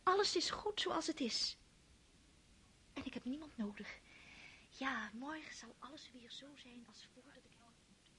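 A woman's voice: high voice, animated, a little slow, pauses often, background noise. An actress playing an impulsive, lovesick young girl in a radio play, often whispering her thoughts to herself. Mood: whispering, wistful. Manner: hushed whispered inner monologue. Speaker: countess's daughter.

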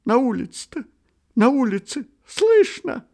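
A man's voice: very high voice, big pitch swings, unhurried, creaky, a little mellow, voice pushed up, exaggerated voice. A man voicing a frightened, whining middle-aged landlord who wails and mumbles in tears. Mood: panicky mumble. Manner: wailing, mumbling, tearful.

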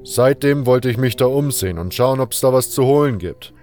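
A man's voice: mid-range voice, moderate pace, a little mellow, soft background music, close to an everyday voice. A man voicing a blunt, unschooled adventurer in an audio drama, rambling along in a colloquial, unbothered way. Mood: casual. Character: gruff, carefree male adventurer, unschooled and blunt. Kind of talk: fan audio drama dialogue.

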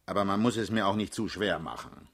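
An actor playing a blunt, world-weary, middle-aged male party leader in a German radio drama, with a husky, rough voice. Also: fairly low pitch, brisk, fairly bright tone. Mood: commanding. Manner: blunt, paternal, brusque.

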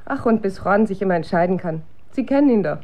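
An actress plays a brisk woman in a German radio drama. She talks in a no-nonsense way at a medium female pitch. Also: expressive, darkish tone, a little hiss or hum, lightly acted. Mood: mildly impatient.

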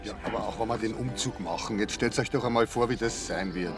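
An actor playing a worried villager, arguing emphatically and complaining in a radio crime drama. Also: male voice, on the low side, fairly quick, close to an everyday voice. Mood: exasperated. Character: worried male villager arguing over a parade. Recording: distant from mic, music behind.

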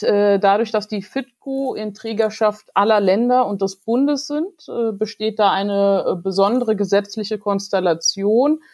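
A woman lawyer guesting on a podcast, giving measured, formal explanations with some hesitations. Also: medium pitch, a little mellow. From Germany, grew up in Hesse. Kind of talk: explaining in an interview. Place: remote call.

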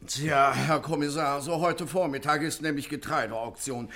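A man's voice: on the high side, fairly even intonation, normal speed, pushed and bright. A man playing a despairing, self-pitying older bank director in a satirical radio play, lamenting and faltering with exaggerated formality. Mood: anxious.

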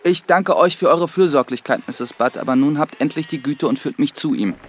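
A man's voice: on the high side, brisk, very noisy, lightly acted. A man playing an earnest, dutiful young priest in a radio drama, speaking formally and precisely. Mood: firm, piqued. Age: young adult.

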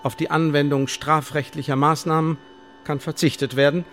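An actor playing a coolly bureaucratic secret service officer in a radio play, speaking in measured, formal officialese. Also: male voice, fairly high pitch, flat delivery, fairly bright tone, soft background music. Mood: dry, official. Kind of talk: radio drama, dictated official report.

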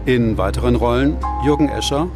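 Male announcer, average pitch, scripted radio play credits over clear music. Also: fairly quick.

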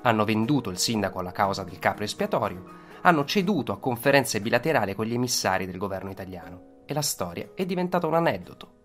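A man narrating a scripted historical account for radio in a solemn, dramatic manner, with short, deliberate phrases. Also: lowish voice, animated, fairly bright tone. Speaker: storyteller, narrator. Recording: radio narration over musical soundtrack. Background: instrumental soundtrack with guitar.

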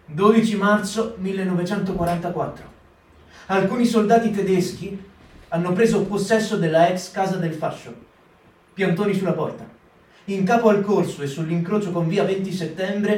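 A man with a notably high voice reads dated entries in a plain, report-like tone. He is heard from a distance in a roomy space with echo. It is a performed reading. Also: a little mellow. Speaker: actor in a staged reading. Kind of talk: reading a wartime diary aloud. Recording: echoey stage reading from far off.

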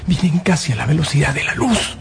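An actor playing an anxious, obsessive astronomer in a radio drama, insistent and emphatic, speaking in clipped, repeated phrases. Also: male voice, on the high side, animated, brisk, vocal fry, a little hiss or hum. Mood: grave, worried. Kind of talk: Spanish-language radio drama acting.

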